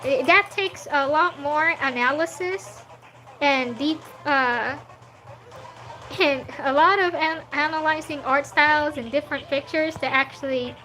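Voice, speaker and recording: A woman's voice: high voice, measured pace, pushed and bright, soft background music, poor audio. A woman, not a native English speaker, chatting casually on a livestream while she draws and answers chat, laughing often. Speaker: streaming artist.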